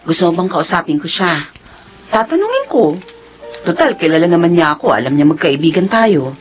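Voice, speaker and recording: A woman's voice: lowish voice, sing-song, brisk, faint music behind. A woman playing a caring, chatty young best friend in a Filipino radio drama, coaxing and exclaiming on her friend's behalf. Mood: shocked, concerned.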